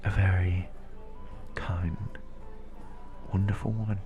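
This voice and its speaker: A man playing a kindly, educated fantasy tavern keeper in an intimate audio roleplay, speaking softly and haltingly to the listener. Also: sits low, flat delivery, highs cut off, music in the background. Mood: confiding, wistful. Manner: slow, halting, soft-spoken with breathy confiding asides.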